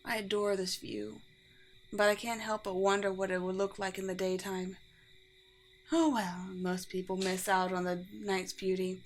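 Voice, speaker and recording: A woman's voice: medium pitch, little pitch movement, moderate pace, lightly acted. Woman playing a serene vampire queen, noisy ambience. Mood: inviting, serene. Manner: formal, poetic, tender, lightly amused. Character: gentle, serene undead vampire queen, regal and sincere. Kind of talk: scripted ASMR roleplay addressed to the listener.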